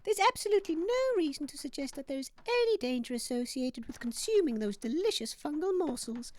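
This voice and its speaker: A woman's voice: sits high, very animated, brisk, a little mellow. A woman playing a haughty, combative scientist in a comedy audio sketch. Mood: pompous. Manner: pompous, argumentative.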